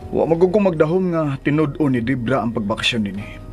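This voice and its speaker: An actor playing a soothing, apologetic young husband in a radio drama, placating and a little hesitant. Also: male voice, mid-range voice, animated, fast talker, darkish tone, faint music behind, close to an everyday voice.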